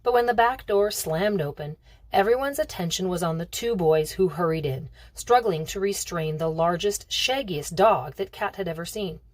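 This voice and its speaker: A woman reading a novel aloud as expressive narration. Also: lowish voice, moderate pace, no room sound. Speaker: reader of a novel.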